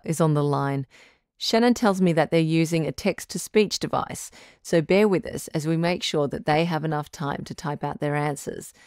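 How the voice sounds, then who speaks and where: A woman's voice: deep voice, a little mellow, clear, resonant tone, no echo, clean studio sound. A woman playing a frank, reassuring doctor who hosts a radio call-in advice show, encouraging and matter-of-fact in a conversational style. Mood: upbeat, encouraging.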